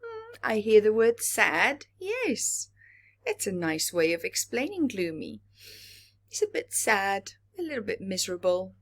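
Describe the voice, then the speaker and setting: A woman reading a story aloud to young children as a storyteller, expressively, pausing to explain and ask questions. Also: medium pitch. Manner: expressive, asks the listeners questions.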